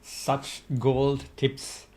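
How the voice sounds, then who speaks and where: Male podcast host, mid-pitched, encouraging and conversational. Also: completely flat delivery, very slow, a little mellow. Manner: encouraging, affirming. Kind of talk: casual interview chat.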